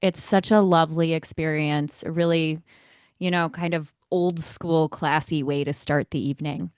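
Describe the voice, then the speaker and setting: A woman's voice: low-pitched, steady tone, normal speed, darkish tone, smooth, no echo, clean studio sound. A woman co-hosting a podcast, chatting casually and giving her opinions with emphasis. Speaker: podcast co-host. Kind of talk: casual chat.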